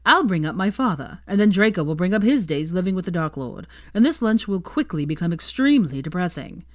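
A woman's voice: lowish voice, very animated, a little fast, no echo. A grim young wizard from a storybook, voiced by a woman reader, stern and wry. He talks bluntly, as if giving a warning, in a close, dry recording.

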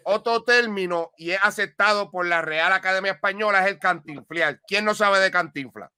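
Bright, crisp-voiced man. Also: sits high, normal speed, no room sound. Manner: emphatic, repeats words while explaining. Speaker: podcast co-host. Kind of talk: casual chat.

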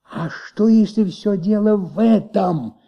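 An actor playing an ailing, insistent middle-aged police commissioner in a radio play, gravelly and halting, repeating himself as he thinks aloud. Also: high-pitched, heavily acted. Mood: pondering, restless. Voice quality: gravelly, husky. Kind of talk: Soviet radio play acting. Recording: muffled old radio recording.

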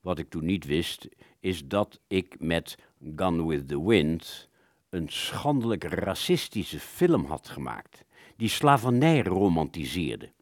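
Casting: man as indignant ghost of a film director for radio drama, low voice, very lively intonation, theatrical. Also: slow pace, dry recording, studio quality, exaggerated voice. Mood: aggrieved. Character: aggrieved, wordy ghost of an old-time Hollywood film director. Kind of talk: radio play acting.

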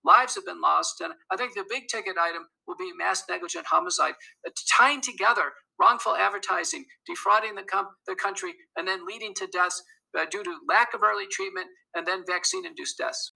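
A man's voice: high voice, fairly quick, creaky, bright, forceful tone, low-quality recording. A man interviewed as a doctor guest, speaking firmly and assertively, listing facts steadily. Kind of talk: interview clip.

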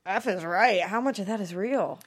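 A woman's voice: mid-range voice, normal speed, slightly rough, lightly acted. Actress reading a playful, teasing young girlfriend. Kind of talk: table read acting.

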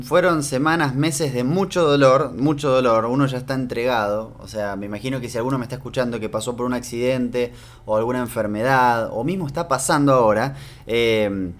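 Argentine man telling a funny story. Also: mid-range voice. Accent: Argentine Spanish. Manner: animated, humorous storytelling with frequent restarts. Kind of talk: casual storytelling monologue.

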